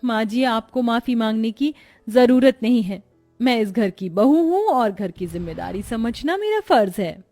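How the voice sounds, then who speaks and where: Woman as a young dutiful daughter-in-law, high voice. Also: animated, measured pace, warm tone, soft background music. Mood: humble.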